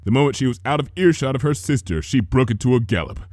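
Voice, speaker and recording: A man reading a story aloud as a dramatic, expressive narrator. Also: medium pitch, fast talker, no room sound, clean studio sound. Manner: theatrical, expressive. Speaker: fan fiction reader.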